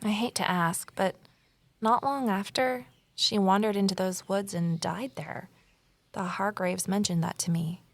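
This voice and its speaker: Creaky-voiced anxious woman sleuth. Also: medium pitch, fairly even intonation, a little slow, no echo. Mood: probing, careful. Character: Evelyn, anxious, determined amateur sleuth woman. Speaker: lead character and narrator. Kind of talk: naturalistic audio drama dialogue and narration.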